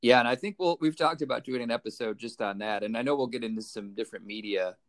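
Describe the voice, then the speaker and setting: A man's voice: mid-range voice, fast. A man co-hosting a podcast, chatting casually and conversationally in a comic book review style. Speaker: podcast co-host.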